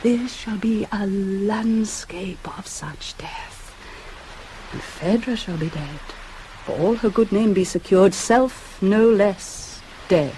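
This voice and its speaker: An actress playing a proud, vengeful goddess in a classical verse radio drama, speaking in a solemn, deliberate, declamatory way on a hissy old cassette. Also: female voice, mid-range voice, warm tone, lightly acted, rough recording. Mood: cold menace. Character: vengeful proud goddess, coldly plotting a mortal's death.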